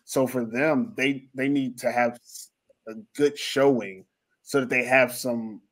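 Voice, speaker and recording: A man's voice: medium pitch, steady tone, unhurried. Male podcast host, loose and rambling, pokes fun at himself, easygoing sports banter. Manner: rambling, self-mocking, jokey. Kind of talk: casual sports podcast chat. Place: lives in Finland.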